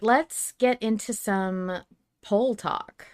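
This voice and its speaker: A woman's voice: mid-range voice, drawn-out words. A woman co-hosting a podcast, chatting casually and informally as she speculates about a story. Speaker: podcast co-host. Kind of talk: casual fan podcast chat.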